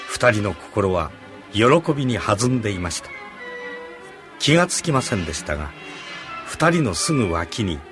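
A man narrating a Bible story for a radio drama in a dramatic storytelling manner. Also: on the low side. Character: solemn storyteller of a Bible tale. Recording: music behind the voice.

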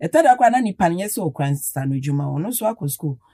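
Woman, radio guest, lowish voice, explaining, dry studio. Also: sing-song, rapid-fire, mellow, no room sound. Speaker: talk show guest. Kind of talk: casual radio chat.

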